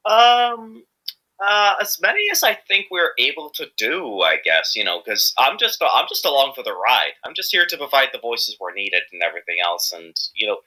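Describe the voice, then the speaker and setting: Bright-voiced, animated man rambling in an interview. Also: medium pitch, normal speed, low-quality recording. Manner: hesitant, rambling, repeating himself. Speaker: amateur voice actor being interviewed. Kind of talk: casual interview chat.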